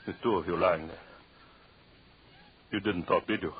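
A man's voice: deep voice, fairly even intonation, normal speed, lightly acted. An actor playing a tormented, murderous showman in a 1930s radio horror play, melodramatic and muttering obsessively in repeated phrases. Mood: brooding, paranoid. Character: tormented murderous showman haunted by talking shrunken corpses. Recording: muffled, noisy 1930s radio recording.

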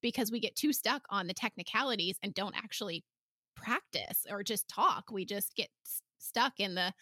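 A woman's voice: mid-range voice, moderate pace, bright, forceful tone, no echo, studio quality. A woman hosting a podcast, chatting casually with chatty, affirming reactions. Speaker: podcast host. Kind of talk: casual chat.

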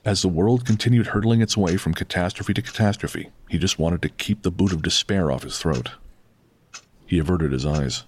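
A man's voice: sits low, moderate pace, darkish tone, slight background noise. A man narrating a scripted horror audio drama as storyteller.

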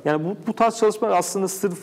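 Halting male host with long reverb. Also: sits high, a little mellow. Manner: halting, searching for words, many false starts. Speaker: interview host. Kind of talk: casual unscripted conversation. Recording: echoey hall-like reverb.

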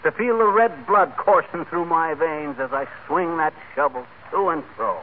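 Man doing folksy braggy husband from 40s radio comedy, highish lively voice, tinny old broadcast. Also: moderate pace, a little hiss or hum, lightly acted. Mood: grandiose relish. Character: folksy, boastful, tall-tale-telling husband and host. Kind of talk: 1940s radio comedy acting.

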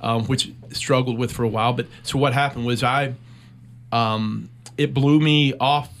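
Gravelly middle-aged man telling war stories. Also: mid-range voice, steady tone, a bit bright, a little hiss or hum. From United States. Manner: measured, reflective storytelling with frequent pauses. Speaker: military veteran guest. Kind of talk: personal war story in a conversational interview.

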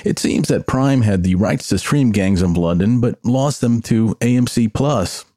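A man's voice: mid-range voice, expressive, a little slow, dark tone, dry recording, clean studio sound. An older man hosting a solo podcast, giving personal, opinionated commentary in a conversational way. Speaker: podcast host.

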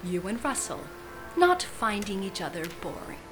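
A woman's voice: mid-range voice, very animated, moderate pace, music in the background, lightly acted, poor audio. A woman playing an arch, pointed middle-aged wife who coolly needles her friend in an audio drama. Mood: cold, accusing.

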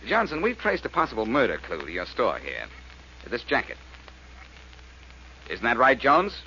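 An actor playing a brash, quick-witted male amateur sleuth in a 1940s American radio detective drama, cocky and commanding. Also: mid-range voice, huge pitch swings, bright, forceful tone, heavily acted. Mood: bossy, mocking. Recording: muffled, noisy old radio recording.

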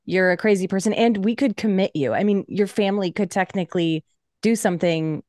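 A woman with a mid-pitched voice talks as a podcast co-host. Her delivery is casual conversation, and the recording is very dry. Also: fairly quick, a little mellow, no room sound. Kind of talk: casual chat.

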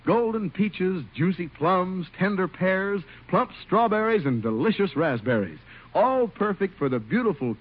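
Animated, husky male radio announcer. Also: a little high. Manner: polished, upbeat salesmanship. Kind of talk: 1940s-50s radio advertising read. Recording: muffled old radio broadcast.

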